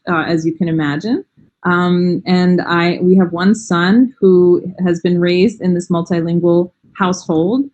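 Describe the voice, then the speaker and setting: Enthusiastic American woman, lowish voice. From United States. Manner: warm, enthusiastic, frequent "um" and "you know". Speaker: language teacher, interview guest. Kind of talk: casual interview chat.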